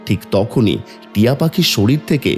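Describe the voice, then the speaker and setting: A man's voice: lowish voice, animated, normal speed, darkish tone, faint music behind. A man narrating a scripted cartoon folk tale as the storyteller.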